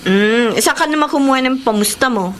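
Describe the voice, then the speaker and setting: Feisty Filipino daughter, twenties, high and very animated, outspoken, emotional radio-drama delivery. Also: female voice, brisk, noisy background. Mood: curious, suspicious. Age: 20s. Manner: sassy, interrogating, emotional. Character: feisty, hardworking 25-year-old breadwinner daughter, outspoken and exasperated.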